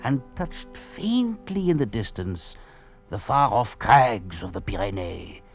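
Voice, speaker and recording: An actor playing a roguish adventurer on the run, in a theatrical, grandiloquent old radio drama style. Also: male voice, fairly low pitch, big pitch swings, takes it slowly, full character voice. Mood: dramatic narration. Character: roguish, swaggering male thief and adventurer on the run. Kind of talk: 1940s-50s radio drama acting. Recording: muffled old radio recording with music behind.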